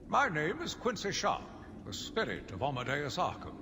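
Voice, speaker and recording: An actor playing a zealous, deluded asylum warden who delivers a theatrical, deliberate diary monologue in a video game. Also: male voice, a little high, wildly swooping pitch, pushed and bright, exaggerated voice. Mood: grandiose fervor. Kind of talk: dramatic first-person game monologue. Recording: long reverb, clearly noisy ambient backdrop.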